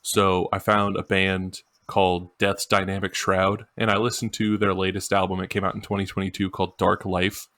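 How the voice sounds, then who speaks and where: A man's voice: low-pitched, flat delivery, moderate pace, few pauses, a bit bright, no room sound. A man co-hosting a podcast, chatting casually and informally about music. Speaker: podcast co-host.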